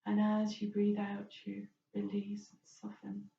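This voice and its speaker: A woman teaching yoga relaxation, guiding the listener in a gentle, reassuring and permissive way. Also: mid-range voice, monotone, unhurried, gravelly. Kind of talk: guided relaxation instruction. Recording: roomy, distant, dull, faint noise.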